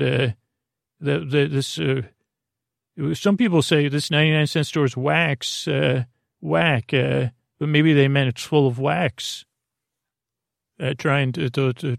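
Creaky-voiced man, rambling and halting. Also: mid-range voice, no room sound, studio quality. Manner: meandering, halting, often trailing off mid-sentence; self-deprecating, mildly whimsical. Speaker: host of a sleep podcast. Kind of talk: rambling, improvised bedtime monologue.

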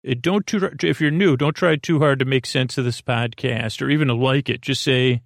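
Man, gravelly creaky voice, dry room-free sound, halting delivery, meandering goofy sleep podcast monologue. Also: mid-range voice, fairly even intonation, no room sound. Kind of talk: rambling bedtime monologue.

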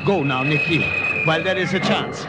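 An actor playing a resolute wounded professor in an old radio drama, speaking formally and urgently. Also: male voice, a little high, moderate pace, close to an everyday voice. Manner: urgent, formal, clipped commands. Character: wounded, selfless older professor, resolute wartime spotter. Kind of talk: 1940s-50s radio drama acting. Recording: noisy old radio recording.

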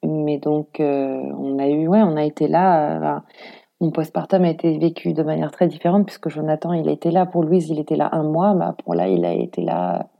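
A woman's voice: low-pitched, a little fast, vocal fry, lo-fi sound. A woman in her thirties from France with a French accent, talking informally and reflectively in an interview, thinking aloud as she goes. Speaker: interview guest, expatriate mother; preschool worker. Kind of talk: casual conversational interview.

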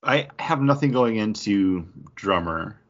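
A man's voice: fairly low pitch, animated, slow pace. A man co-hosting a comic book podcast in casual, conversational talk. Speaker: podcast co-host reviewing comic books. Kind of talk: casual chat. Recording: dull, band-limited audio.

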